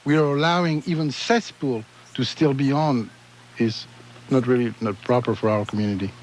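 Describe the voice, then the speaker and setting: A man's voice: medium pitch, steady tone, moderate pace, darkish tone, a little hiss or hum, low-quality recording. French-accented man explaining at length. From France. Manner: hesitant, many restarts. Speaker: guest architect. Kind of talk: radio interview.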